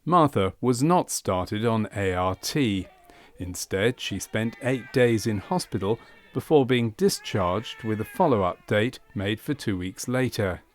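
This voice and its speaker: A man reading scripted documentary narration for a medical training film in a measured, factual manner. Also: fairly low pitch, lively intonation. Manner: measured, factual delivery of clinical case history.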